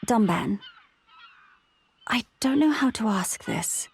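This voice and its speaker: A woman's voice: fairly high pitch, animated, slow, husky, heavily acted. A woman voicing a dignified, solemn young noblewoman in a video game, formal and composed. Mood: questioning. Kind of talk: dramatic video game voice acting.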